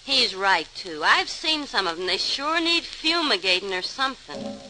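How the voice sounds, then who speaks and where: A woman's voice: medium pitch, very animated, normal speed, close to an everyday voice. An actress playing a gossipy, alarmed New York city woman with colloquial speech in a 1940s radio drama. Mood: concerned, chatty. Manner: colloquial, clipped everyday speech. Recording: hissy, crackly 1940s radio recording.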